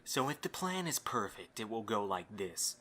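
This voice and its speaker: A man's voice: on the low side, very animated, moderate pace, sharp tone, a little hiss or hum. A man acting a courteous, scheming young host in an amateur audio drama, with a theatrically polite manner. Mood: confident, scheming.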